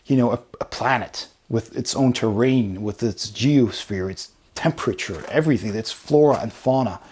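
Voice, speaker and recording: Male reviewer, mid pitch, slightly husky; casual, enthusiastic commentary. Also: darkish tone. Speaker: board game reviewer. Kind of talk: casual review commentary, walking through a book.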